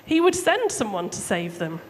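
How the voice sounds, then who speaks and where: Female live children's storyteller, highish voice, theatrical and emphatic, church hall reverb with noticeable noise. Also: very animated. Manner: theatrical, emphatic, deliberate phrasing. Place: large room, live church service. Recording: echoey hall, clear background noise.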